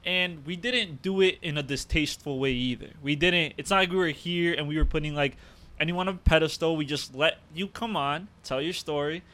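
Joking young man, bright voice. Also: fairly high pitch, a little fast. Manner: joking, swears freely, many fillers. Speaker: podcast co-host. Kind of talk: casual podcast banter. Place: small room at a table. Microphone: table mic.

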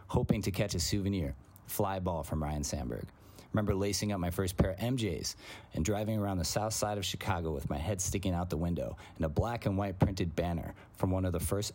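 A man's voice: sits low, darkish tone. A man in his forties, born in Greece with Greek as his first language, a coach and teacher reading a reflective personal essay.

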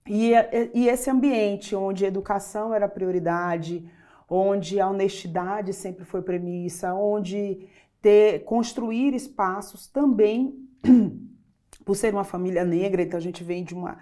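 A woman's voice: medium pitch, steady tone, unhurried, mellow. A middle-aged woman from Bahia, talking as an interview guest in an earnest, reflective way. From Bahia, Brazil. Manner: reflective, earnest, with fillers and restarts. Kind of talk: interview conversation.

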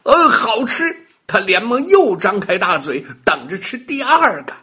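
A man telling a children's bedtime story in a dramatic, expressive way, with exclamations and sound words. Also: high-pitched, measured pace, slightly rough.

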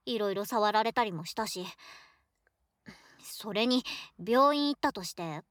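A woman playing a shy, affectionate young student, a boy turned into a girl, talking softly to a close friend in a voice drama. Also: medium pitch, expressive, unhurried, no echo. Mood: bewildered, troubled. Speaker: voice actor in a situation voice drama. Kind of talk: Japanese situation voice drama acting, intimate one-sided dialogue.

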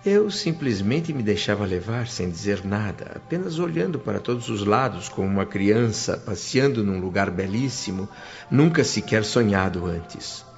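An actor with a Brazilian accent narrating a radio drama as an emotional, reverent male spirit, solemn and expressive in a measured way. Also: lowish voice. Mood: awed. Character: emotional male spirit recalling a blissful afterlife, reverent and awed. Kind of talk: scripted first-person dramatic narration. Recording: slightly dull radio drama with music. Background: music.

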